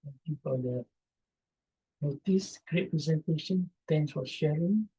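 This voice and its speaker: A man's voice: on the high side, unhurried, dark tone, husky, muffled recording. A man from Malaysia, presenting online and taking audience questions, reading them aloud and answering with hesitant fillers. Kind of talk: Q&A after an online talk. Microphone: video call.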